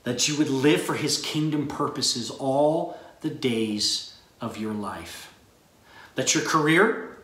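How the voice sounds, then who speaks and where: A middle-aged man, a pastor, giving a recorded sermon to graduates in an earnest, measured way from a small room. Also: mid-range voice, a bit bright, roomy sound. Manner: earnest, measured preaching delivery. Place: small room with a bookshelf, indoors.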